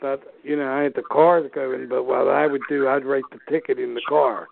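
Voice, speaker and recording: Raspy older guy in his 60s, repeats himself, tinny phone-ish sound. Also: medium pitch, flat delivery, a little fast, dry recording. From Pittsburgh area. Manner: plainspoken storyteller, repeats himself. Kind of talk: casual chat.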